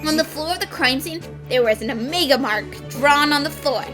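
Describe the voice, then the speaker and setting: A woman voicing an excitable, theatrical young woman in a fan-dubbed detective cartoon, speaking dramatically. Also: high-pitched, a little fast, over music, lightly acted. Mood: explaining. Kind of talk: fan dub voice acting.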